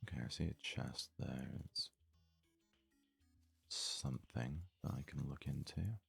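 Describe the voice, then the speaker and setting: A man giving hushed, unhurried ASMR gaming commentary, murmuring as if thinking aloud in a husky, breathy voice. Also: a bass voice, steady tone, no echo, soft background music. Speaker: let's play host.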